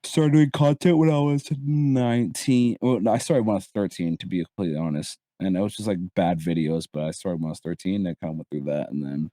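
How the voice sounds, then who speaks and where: A man's voice: deep voice, steady tone, fairly quick, hardly any pauses, dark tone, dry recording. A man in his early twenties from Barbados, a guest chatting casually, rambling with restarts and casual swearing. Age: early 20s. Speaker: guest content creator. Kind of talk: casual podcast chat.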